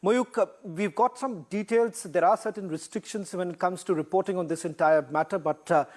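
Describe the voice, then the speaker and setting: A male news anchor with an Indian accent presents breaking news live. His voice sits a little high and has a husky edge. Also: fairly quick.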